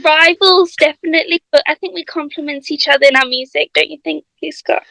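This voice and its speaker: A woman's voice: high-pitched, brisk, gravelly, sharp tone, no room sound, rough recording. A woman from Africa, a singer-songwriter guest chatting in a radio interview, laughing often and restarting her sentences. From South Africa. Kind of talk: casual radio interview chat.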